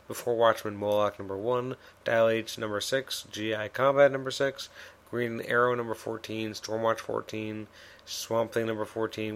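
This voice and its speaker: A man's voice: fairly low pitch, gravelly, a bit bright. A man hosting a solo comic review podcast, talking casually with many fillers and restarts, his voice a bit worn.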